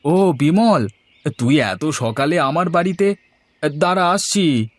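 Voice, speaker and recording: A man's voice: on the high side, lively intonation, slow pace, no room sound. A man voicing a middle-aged village father in a moral story cartoon, anxious to get his daughter married. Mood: curious.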